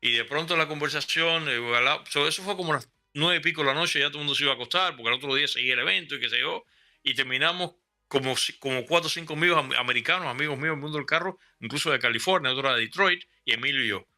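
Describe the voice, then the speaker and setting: Older Cuban man, Cuban accent, bright ringing voice, slightly high, rambling raconteur; soft music underneath. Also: rapid-fire. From Cuba. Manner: rambling anecdotes with many restarts. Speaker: guest. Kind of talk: conversational storytelling.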